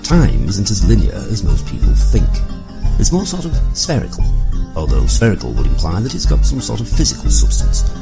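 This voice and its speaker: Loud music, deep gravelly guy narrating a comedy story, wry, really animated. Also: normal speed. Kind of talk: scripted comic story narration.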